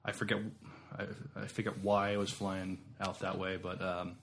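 An American man co-hosting a podcast, talking casually in a halting, hesitant way. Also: sits low, monotone, a bit bright. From United States East Coast. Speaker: podcast co-host. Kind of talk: casual discussion.

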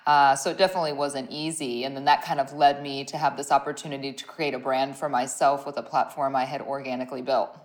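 Raspy low-voiced Midwestern woman. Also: fast. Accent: Midwestern American with a slight Southern touch. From Ohio, US Midwest. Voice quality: raspy, deeper voice. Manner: animated storyteller, laughs often, playful asides. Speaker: guest, brand owner and former radio host. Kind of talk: casual interview conversation.

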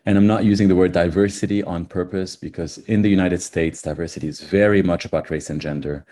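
A man from Lebanon, a guest in a podcast interview, answering in a thoughtful way with many pauses and fillers. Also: low-pitched, fairly even intonation, warm tone. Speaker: guest, nonprofit CEO.

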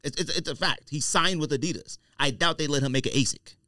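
A man's voice: on the high side, fast talker, sharp tone, no room sound, clean studio sound. An American man hosting a casual podcast debate, arguing emphatically and often cutting in. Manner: argumentative, emphatic, interrupting. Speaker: podcast host.

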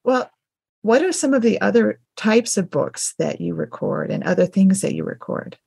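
A woman's voice: medium pitch, expressive, normal speed, gravelly, a little mellow, husky, no echo, studio quality. A woman hosting a casual interview as the interviewer, in a conversational style.

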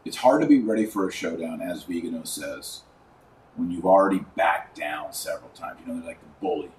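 A man hosting a podcast, giving live commentary and reading aloud in an emphatic, colloquial manner with dramatic pauses. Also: low-pitched, expressive, darkish tone. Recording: roomy, distant mic, faint background noise.

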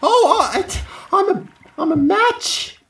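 A woman voicing a used-up matchstick guest who is still hopeful, halting and curious and slow to catch on, in an improv comedy bit. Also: very high-pitched, lively intonation, a bit bright, roomy sound, exaggerated voice. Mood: chipper.

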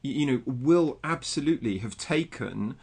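Halting, stammering male podcast host. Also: mid-range voice, expressive, creaky, darkish tone, slightly rough. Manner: halting, full of repeated words and fillers. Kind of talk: casual interview chat.

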